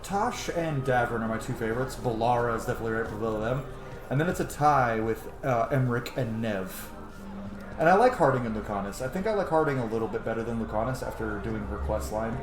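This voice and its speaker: Casting: casual male gamer in his thirties, chatty and sweary, mid pitch, echoey room, game music behind. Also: lively intonation, a little fast. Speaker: gaming streamer. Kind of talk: casual let's play commentary. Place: small home room, indoors. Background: game music and sounds.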